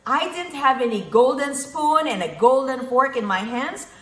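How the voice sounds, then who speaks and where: A woman's voice: high-pitched, lively intonation, normal speed, fairly bright tone. A middle-aged woman with a Filipino accent giving a motivational talk in numbered points, stressing and repeating her key phrases. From Philippines. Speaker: motivational speaker, physician and investor. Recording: echoey room sound.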